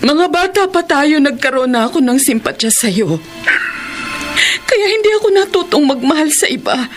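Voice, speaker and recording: A woman's voice: high voice, big pitch swings, normal speed. An actress playing a lovesick young woman begging her lover to marry her, tearful and pleading, in a classic radio drama. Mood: confessing. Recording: music bed under voice.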